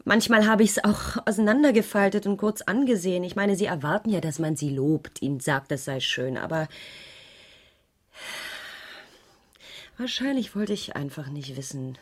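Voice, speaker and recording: An actress playing a troubled, evasive schoolteacher in a radio crime drama, hesitant and subdued, with a breathy voice that drops to near whispers. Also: female voice, lowish voice, animated, moderate pace. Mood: troubled recollection. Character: troubled, evasive female schoolteacher under police questioning. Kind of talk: 1980s German radio crime drama acting.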